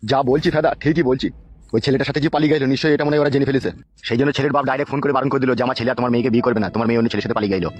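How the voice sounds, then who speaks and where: A man's voice: medium pitch, fairly even intonation, racing, no echo, natural voice. A man voicing a blunt, scolding middle-aged village father in a bawdy cartoon. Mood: blunt annoyance. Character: gruff, irritable, foul-mouthed middle-aged village father. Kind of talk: bawdy cartoon comedy acting. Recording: dull lo-fi cartoon audio.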